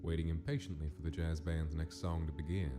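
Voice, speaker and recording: A man's voice: very deep voice, level tone, fairly quick. A man giving dramatic scripted narration for a story in a comic dub. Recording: loud music under the voice. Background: music.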